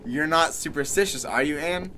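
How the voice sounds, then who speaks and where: A man's voice: on the high side, normal speed, sharp tone. A man playing a practical, reassuring young newlywed husband in a radio horror play. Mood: easygoing. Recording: music under the voice.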